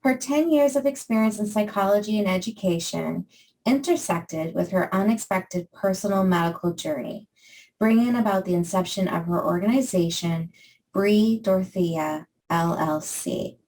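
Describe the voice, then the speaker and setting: A woman's voice: mid-range voice, normal speed. Woman host, slightly distant mic. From Maine. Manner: warm hesitant questions with fillers. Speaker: podcast host. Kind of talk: interview podcast.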